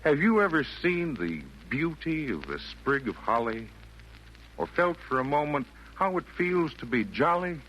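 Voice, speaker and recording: A faintly noisy 1940s radio drama recording. a kindly old man, jolly and forgiving, in a bright voice. His pitch moves animatedly as he lilts through the verse. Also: very animated, measured pace. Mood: jolly, wistful. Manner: lilting, theatrical, genial.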